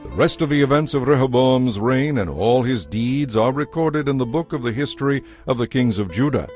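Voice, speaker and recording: A man's voice: medium pitch, lively intonation, a little mellow. Male Bible narrator over music. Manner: measured, formal storytelling. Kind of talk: scripted narration of dramatized Bible.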